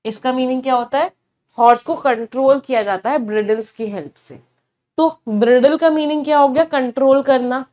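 A woman's voice: high-pitched, normal speed, dark tone. A young woman teaching vocabulary in a studio lesson, explaining in mixed Hindi and English and answering her own questions. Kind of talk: online exam-prep lesson. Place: studio, standing before a large screen.

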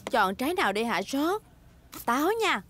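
A woman voicing a gentle mummy pig in a dubbed preschool cartoon, motherly and coaxing. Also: high voice, very animated, normal speed. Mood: reassuring. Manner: motherly, praising, coaxing questions. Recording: music behind the voice.

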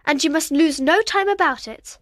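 Storybook girl, voiced by a woman: high, gentle and encouraging, sharing confidences. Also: normal speed, dry recording, studio quality. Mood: encouraging, confiding.

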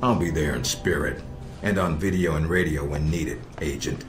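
An actor playing a calm, sardonic middle-aged spy handler in a video game, measured and dryly blunt. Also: male voice, extremely low pitch. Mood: dry, serious. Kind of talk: video game cutscene acting. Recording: roomy echo with music behind.

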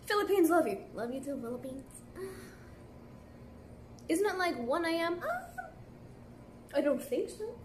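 A woman's voice: high voice, animated, a little slow, pauses often, slight background noise. A young woman casually chatting on a live stream from a café, talking into a phone held at arm's length and answering viewer comments in a laid-back way full of "like". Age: young adult. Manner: chatty, laid-back, heavy use of "like" fillers. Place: indoor café at night.

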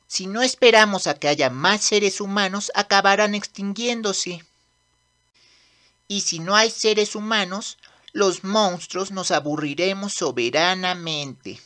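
A woman's voice: mid-range voice, takes it slowly, sharp tone. A woman voicing a cocky young demon prince in a manga dub, brash and commanding. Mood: matter-of-fact. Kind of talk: Spanish manga dub acting.

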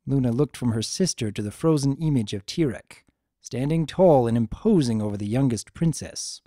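Man, scripted story narrator, expressive, mellow tone, animated intonation. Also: mid-range voice, moderate pace, dry recording, studio quality. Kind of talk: dramatic reading of fan fiction, scripted narration.

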